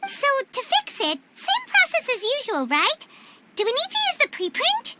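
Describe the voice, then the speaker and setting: A woman voicing a tiny, excitable, blunt childlike fairy companion in a video game cutscene. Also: normal speed, heavy background noise, exaggerated voice. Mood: questioning, blunt. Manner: squeaky, exclaiming, speaks of self in third person.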